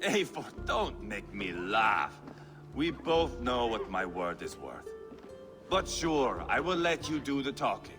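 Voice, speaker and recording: A man's voice: medium pitch, big pitch swings, takes it slowly, big-room sound, music in the background. An actor playing a crude, mocking Viking warrior in a video game, taunting and swaggering as he talks. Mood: sardonic, boastful. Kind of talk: dramatic video game acting.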